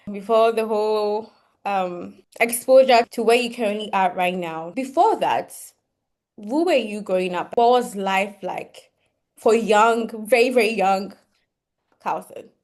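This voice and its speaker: A woman's voice: mid-range voice, takes it slowly. Woman from Ghana hosting an interview. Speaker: interview host. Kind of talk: conversational interview.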